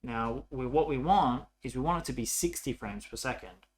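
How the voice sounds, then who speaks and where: A man narrating a coding tutorial casually, thinking aloud in an informal, halting way as he works. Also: medium pitch, animated. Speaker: programming tutorial presenter.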